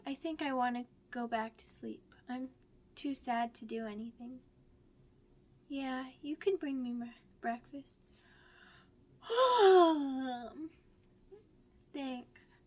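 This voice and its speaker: A woman voicing a sweet, timid young werewolf pup in an ASMR roleplay, childlike and halting, stammering over simple words. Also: high-pitched. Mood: sad, weary. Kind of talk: ASMR roleplay audio drama.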